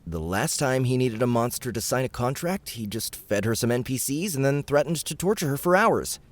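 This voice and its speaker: A man voicing an excitable, cheeky young gamer villain in a fantasy audiobook. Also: medium pitch, moderate pace, pitched above the performer's own voice, lightly acted. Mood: smug. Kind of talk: audiobook character acting.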